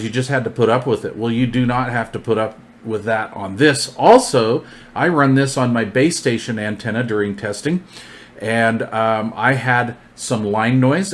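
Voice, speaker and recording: A man giving an informal product overview, explaining features in a plainspoken way. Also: medium pitch. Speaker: product reviewer presenting gear.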